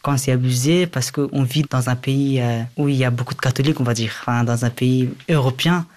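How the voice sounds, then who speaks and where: A young man, speaking a language he learned later, answers as an interviewee. He recounts his own experience in a medium-pitched voice. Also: fairly even intonation, a little fast, a little mellow. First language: a language other than French. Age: young adult. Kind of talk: personal testimony in an interview.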